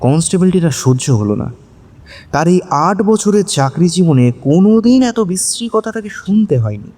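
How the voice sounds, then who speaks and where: A man narrating a dramatic audio story, with measured, suspenseful phrasing. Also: sits high, very animated, warm tone.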